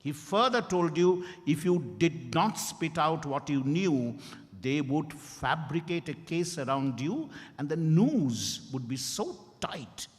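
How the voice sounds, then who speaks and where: Stern interrogating doctor played by a man, emphatic and animated, stage reading in a reverberant hall with music behind. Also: fairly high pitch, slow pace. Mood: accusing, pressing. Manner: emphatic, relentless, cross-examining. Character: stern accusing male doctor interrogating Mister Y.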